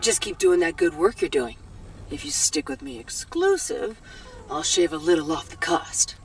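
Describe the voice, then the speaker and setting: An actress playing a shrewd, friendly weapons dealer in a video game, talking in a lilting, slangy, salesmanlike way. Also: female voice, high-pitched, wildly swooping pitch, normal speed, gravelly, recorded from a distance, faint music behind, heavily acted. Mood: persuasive, upbeat. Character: shrewd, friendly female weapons dealer, folksy frontier trader.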